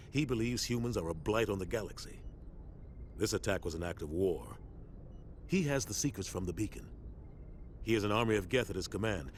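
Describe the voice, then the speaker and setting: Grave, deep-voiced starship captain. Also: male voice, a little hiss or hum. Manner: authoritative, measured, earnest. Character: grave, loyal male military starship captain, middle-aged. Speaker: video game voice actor. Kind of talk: cinematic sci-fi game dialogue.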